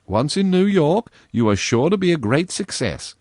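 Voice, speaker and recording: A sweetly stern young girl with a lifted, lively voice, lecturing with earnest scolding as a man reads her part. The sound is dry and close, with no room around it. She is storybook-prim and sure of her own rightness. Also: on the high side, moderate pace, no room sound, pitched above the performer's own voice. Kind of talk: storybook reading.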